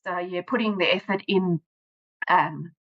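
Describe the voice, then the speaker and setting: A woman's voice: lowish voice, measured pace, muffled recording. A woman from South Africa with a South African accent, whose first language is Afrikaans, speaking plainly in a casual interview with frequent restarts. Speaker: guest author and teacher.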